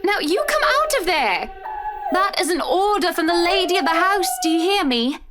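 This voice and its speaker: High-voiced bossy lady played by an actress. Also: sing-song, moderate pace, over loud music. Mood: angry commanding. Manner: genteel, commanding, old-fashioned phrasing. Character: anxious, bossy young lady of a mansion. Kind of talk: visual novel voice acting. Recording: loud noise behind the voice.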